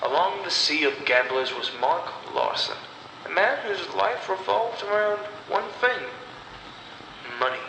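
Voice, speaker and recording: A man tells a horror story with dramatic, deliberate phrasing. His delivery is slow and his pitch sits in the middle range for a man. The recording is very reverberant, with clear noise behind him. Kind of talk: scripted horror story narration. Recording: echoey, long reverb, clear background noise.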